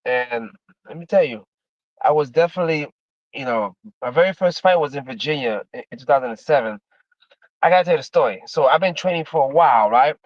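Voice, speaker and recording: A man's voice: a little high, no room sound, highs cut off. Man in his fifties, rambling streamer chat. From Miami, Florida, USA. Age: 50s. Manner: rambling and repetitive, drops in "man" and "you know". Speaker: livestreamer, former MMA fighter. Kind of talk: casual livestream chat.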